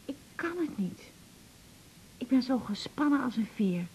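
An actress playing a candid, gently wry and anxious older mother in a radio drama, confiding in a hesitant way. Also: sits high, sing-song, a little slow, slightly rough, full character voice, rough recording. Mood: anxious, embarrassed. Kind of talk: naturalistic radio drama acting.

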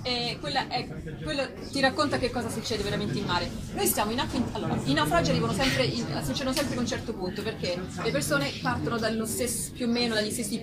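A woman's voice: on the high side, quick pace, over a noisy crowd. A woman speaking spontaneously as an aid worker, telling things vividly with rhetorical questions and quoted exchanges. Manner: animated storytelling with acted-out quotes and rhetorical questions. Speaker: humanitarian aid worker and spokesperson. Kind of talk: spontaneous explanation at a public talk. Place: public festival talk, noisy surroundings. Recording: very noisy live event recording, background ambience.

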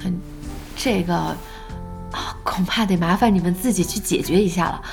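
An actress playing a brisk, spirited internet cafe owner in an audio drama. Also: female voice, on the low side, creaky, faint music behind. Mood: persuasive. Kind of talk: Chinese audio drama acting.

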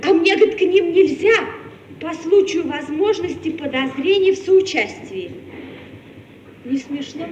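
An actress playing an excitable, chatty, mocking woman in a mid-century Soviet radio comedy, with animated theatrical delivery. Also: extremely high pitch, moderate pace, distant mic. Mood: mocking, gossipy. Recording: muffled, echoey, hissy old radio-play tape.

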